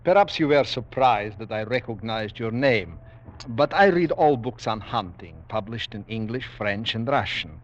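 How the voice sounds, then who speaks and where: A man's voice: fairly low pitch, animated, normal speed. A suave aristocratic general and hunter in a vintage radio drama. He is courtly, menacing and boastful, savoring each word with swooping intonation. The old 1940s broadcast sounds dull and narrow. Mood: courtly, proud. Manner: courtly, formal, coolly boastful, savoring his words. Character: suave, menacing aristocratic general who hunts men for sport.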